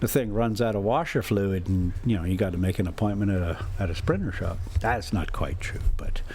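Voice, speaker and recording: A man's voice: fairly low pitch, brisk, darkish tone, slight background noise. An American man chatting casually as a travel vlogger on a drive, rambling in a folksy, teasing, sarcastic way. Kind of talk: casual rambling chat and ranting.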